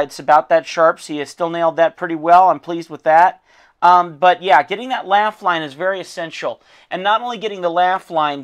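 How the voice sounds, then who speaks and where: A man with a hoarse, fading voice walks a student through a critique of her drawing. His pitch sits on the higher side for a man, and he talks informally, encouraging and full of fillers. It sounds like a recorded art lesson. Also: fairly bright tone. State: losing his voice. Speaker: art teacher.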